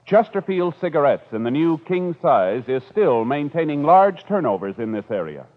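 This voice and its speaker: A man's voice: on the high side, sing-song, moderate pace. An American man announcing a 1950s radio show in a formal, emphatic scripted style, on an old recording. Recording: tinny old radio recording with loud music.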